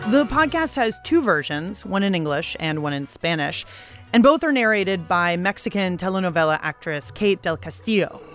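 A woman's voice: fairly low pitch, animated, moderate pace, soft background music. A woman with an American accent hosting a public radio show in a conversational way.

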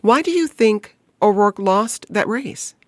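Dry-sounding radio news host. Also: female voice, mid-range voice, lively intonation, slow pace, no echo. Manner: clear, reads emails and intros aloud. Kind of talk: scripted news radio hosting.

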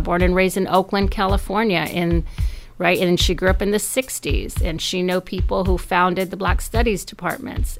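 A woman guest giving interview commentary, emphatic and explaining with frequent tag questions. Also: fairly low pitch.

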